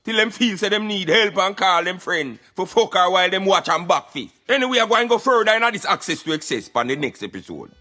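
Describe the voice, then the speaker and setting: A man's voice: high voice, very animated, a little fast, few pauses, sharp tone. A Jamaican man giving opinionated conversational commentary on a radio show, in patois with emphatic interjections.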